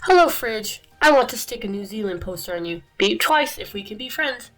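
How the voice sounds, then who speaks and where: A woman voicing an excitable little puppy girl from a cartoon storybook, eager and chirpy. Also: medium pitch, a little fast, soft background music, natural voice, low-quality recording.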